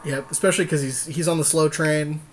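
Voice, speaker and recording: A man's voice: a little high, steady tone, brisk, background noise. A man giving casual commentary on a card game as a podcast co-host, chatty and thinking aloud with a partner.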